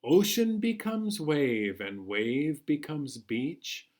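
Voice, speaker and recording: A man hosting a children's storytime, reading a picture book aloud in a measured, singsong way. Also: on the high side, sing-song, a little mellow. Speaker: children's storytime host at a library.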